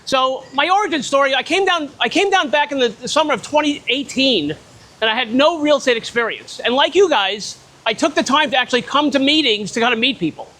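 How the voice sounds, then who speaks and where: A man with a loud voice giving a casual, blunt talk at an investor meetup, speaking without a microphone in a restaurant. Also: extremely high pitch. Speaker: guest speaker on private lending. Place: restaurant event space in the rain.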